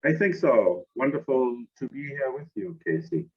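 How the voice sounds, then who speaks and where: An older man from Germany with a German accent, answering interview questions in a measured, thoughtful way and pausing often. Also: mid-range voice, sing-song. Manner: measured, thoughtful, with frequent "uh" and "um" pauses. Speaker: guest, academy director. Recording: phone-like narrow line.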